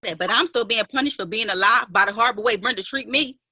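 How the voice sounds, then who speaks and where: A fairly high, sharp-edged woman's voice, acted for a play reading. She is an aggrieved, proper sister, emphatic and wounded as she protests. The sound is dry and close. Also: fast talker, dry recording, exaggerated voice. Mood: wounded, protesting. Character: aggrieved, indignant half-sister, sheltered and proper.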